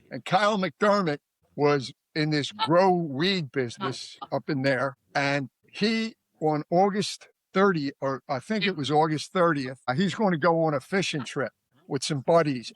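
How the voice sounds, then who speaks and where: A man with a Philadelphia accent who talks through a case in a rambling, emphatic style. His voice sits a bit high for a man. It is recorded very dry, with no room sound. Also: a bit bright, no room sound. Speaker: podcast guest. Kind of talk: casual true-crime discussion.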